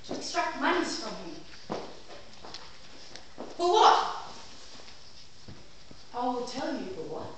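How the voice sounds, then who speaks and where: A woman on stage playing a pompous, melodramatic defense lawyer, addressing a jury with grandiose, deliberate delivery and dramatic pauses. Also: sits high, pushed and bright, full character voice. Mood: outraged. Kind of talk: theatrical courtroom monologue in an amateur stage play. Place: small theatre stage before a live audience. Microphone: distant camera microphone. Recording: echoey theatre, far from mic, faint noise.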